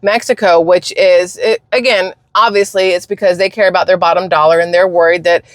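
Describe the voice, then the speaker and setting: A woman from the United States hosting her channel, delivering an emphatic, indignant opinion rant with quick bursts of speech. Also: fairly low pitch, steady tone, dry recording. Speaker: channel host.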